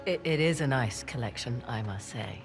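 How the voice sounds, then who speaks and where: A woman playing a brash, quick-witted treasure-hunting thief in a video game, with a sardonic, cocky delivery. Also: rumbling low, animated, soft background music. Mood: smooth bluffing. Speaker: voice actor playing an adventurer. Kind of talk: cinematic video game acting.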